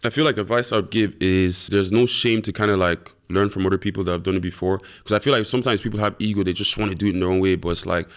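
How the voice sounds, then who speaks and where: A young man who grew up in Ireland talks loosely and fast. His voice sits on the low side, and his speech is full of fillers and slang as he answers questions. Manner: laid-back and slangy, with many fillers. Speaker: guest. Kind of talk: casual interview chat.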